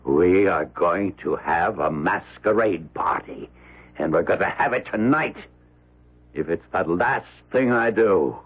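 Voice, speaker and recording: A man's voice: low voice, lively intonation, unhurried, slightly rough, heavily acted. An actor playing a wealthy, domineering old man in a radio drama, blustery and wheedling. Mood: stubborn. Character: wealthy, overbearing old miser playing showman host. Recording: dull old radio recording.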